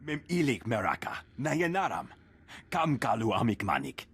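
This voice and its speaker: An actor playing a haughty alien crime boss in a video game, speaking in an invented tongue. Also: male voice, mid-range voice, very animated, normal speed, fairly bright tone, slightly rough. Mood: indignant, bargaining.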